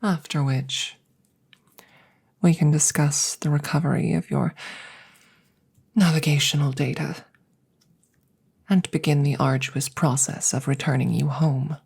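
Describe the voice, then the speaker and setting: A woman playing a detached, formal alien scientist in an ASMR audio roleplay, speaking in a measured, breathy way that slips into whispers. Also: low voice, fairly even intonation, a little mellow, exaggerated voice. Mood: clinical, matter-of-fact.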